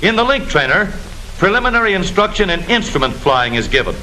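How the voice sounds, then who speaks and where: A bright, forceful American man narrates in the instructive, declamatory style of a 1940s military newsreel. His delivery swings widely in pitch. The old film soundtrack carries a light layer of noise. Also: very animated, fairly quick, poor audio.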